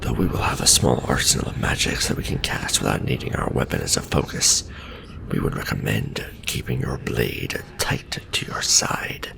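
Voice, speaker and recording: A man voicing a veteran undead death knight in an ASMR fantasy roleplay, a stern, patient mentor speaking formally and with measure in a gravelly half-whisper. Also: a bass voice, expressive, normal speed, exaggerated voice. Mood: measured, instructive.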